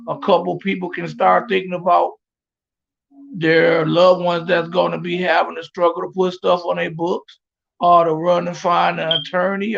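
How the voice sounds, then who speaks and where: A man's voice: high voice, vocal fry, a bit bright, muffled recording. A man hosting a podcast, talking casually and rambling straight to his viewers over a phone stream. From Flint, Michigan. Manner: rambling, repeats words, speaks straight to his audience. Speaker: podcast host. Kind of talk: casual live address to viewers. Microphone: phone, streaming from afar.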